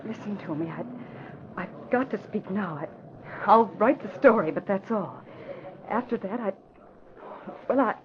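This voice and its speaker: An actress playing an earnest, lovelorn young woman reporter with halting, emotional delivery in 1940s radio drama style. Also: mid-range voice, lively intonation, lightly acted. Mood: halting, tearful resolve. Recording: muffled, noisy vintage radio broadcast.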